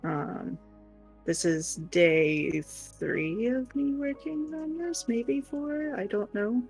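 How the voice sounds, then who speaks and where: A woman's voice: on the high side, lively intonation, slow pace, a little mellow, slightly rough, faint music behind, poor audio. A woman chatting casually on a craft livestream in an informal, tentative way. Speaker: co-host doing crochet. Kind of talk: casual chat.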